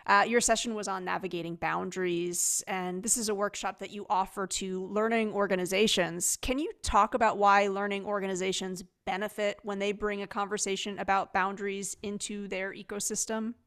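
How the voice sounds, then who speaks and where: A woman's voice: mid-range voice, little pitch movement, normal speed, hardly any pauses, smooth. Reflective woman podcast host. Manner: warm-toned, reflective, with fillers and restarts. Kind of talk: podcast interview.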